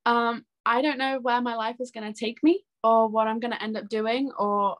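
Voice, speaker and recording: A woman in her twenties speaking as an interview guest in a casual, chatty and expressive way. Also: fairly high pitch, normal speed. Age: 20s. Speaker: interview guest, singer-songwriter.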